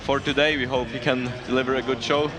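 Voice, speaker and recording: A man's voice: medium pitch, flat delivery, fast. A man commentating casually at a sports event in an informal, upbeat manner with non-native English phrasing. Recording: music playing behind the voice.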